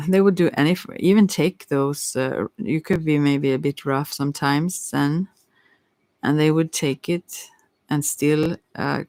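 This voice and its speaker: Asmr tarot reading, slow n hesitant, lots of vocal fry, kinda searching for words. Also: medium pitch, darkish tone, no room sound. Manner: hesitant, searching for words, with long thoughtful pauses. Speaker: tarot reader hosting a live session. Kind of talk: ASMR, improvised card reading.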